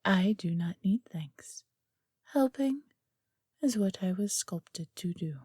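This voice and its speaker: A woman voicing a helpful stone golem librarian in a fantasy audio roleplay, speaking in a stiff, formal, measured way. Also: medium pitch, expressive, darkish tone, husky, dry recording, clean studio sound. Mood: flat, dutiful.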